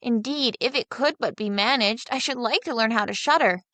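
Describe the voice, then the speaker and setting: Naive farm boy, voiced by a woman reading, earnest and higher than her own voice. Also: normal speed, bright, forceful tone, dry recording. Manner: earnest, guileless. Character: naive fearless young male peasant boy.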